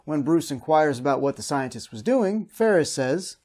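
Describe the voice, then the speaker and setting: A male review show host with a moderately high voice. He reads scripted recap narration in a comedic style, addressing the audience as a presenter. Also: animated, normal speed, a little mellow, faint music behind.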